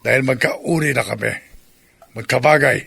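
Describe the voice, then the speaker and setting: A scheming, lovestruck male aswang with a gravelly, mid-pitched voice. He sounds confident and menacing, acted in the heightened style of horror radio drama. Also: normal speed, pushed and bright, exaggerated voice. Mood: smug, possessive.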